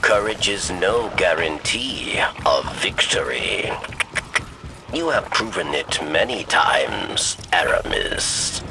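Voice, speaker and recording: An actor playing a wry, philosophical alien spymaster in a video game, speaking in measured, cryptic phrases. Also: male voice, low voice, very animated, gravelly, very noisy, heavily acted. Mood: wry, musing. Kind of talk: video game mission dialogue.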